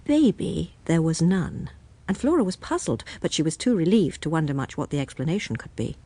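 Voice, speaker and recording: A woman's voice: deep voice, animated, brisk, mellow, slight background noise. A woman playing a novelist narrating a radio drama, with an arch, ironic manner. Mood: wry. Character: woman novelist narrating, dry and wryly amused.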